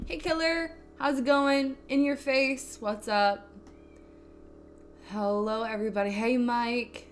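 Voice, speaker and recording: A raspy woman with a fairly high voice hosts a cooking livestream in bubbly, casual chat. She laughs easily and greets her viewers one by one. Faint music plays quietly behind her. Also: fairly even intonation, slow pace. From Texas. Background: faint background music.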